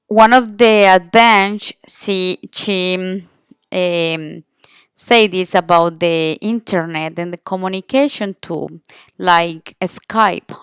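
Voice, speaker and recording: Female student presenter, Colombian Spanish accent, slow and halting English, clean smooth tone, close dry sound. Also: fairly low pitch, no room sound. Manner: halting, searching for words, self-correcting. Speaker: language student presenting an interview. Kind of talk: informal spoken class presentation.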